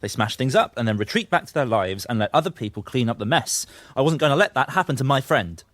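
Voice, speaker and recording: A man's voice: mid-range voice, animated, fast, a bit bright, dry recording, natural voice. An actor playing a troubled, self-justifying young man who confesses and makes excuses in a naturalistic audio drama. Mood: defensive. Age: young adult. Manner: confessional, defensive, sweary.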